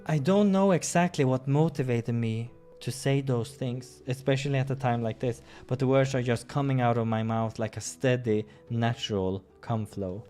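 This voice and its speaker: A man's voice: medium pitch, fairly even intonation, moderate pace, hardly any pauses, dark tone. A man hosting a playthrough, reading a visual novel aloud with casual asides and the odd stumble, over music.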